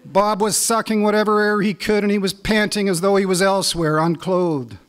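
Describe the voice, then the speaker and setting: A middle-aged Canadian man performing as a spoken word poet on stage, with a wry, deliberate delivery. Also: sits high, steady tone. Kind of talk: live poetry recital with casual lead-in.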